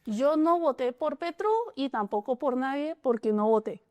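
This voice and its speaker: A woman's voice: high voice, lively intonation, normal speed. Hesitant woman. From China. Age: 40s. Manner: hesitant, many restarts and "o sea" fillers. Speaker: statistician and interviewee. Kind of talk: casual interview answers.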